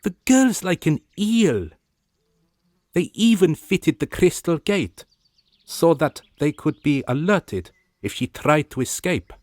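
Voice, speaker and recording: An actor playing a gossipy, sympathetic young palace servant in a radio drama, theatrical, deferential and confiding. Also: male voice, a little high, sing-song, takes it slowly, darkish tone, dry recording, studio quality. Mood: gossipy, pitying.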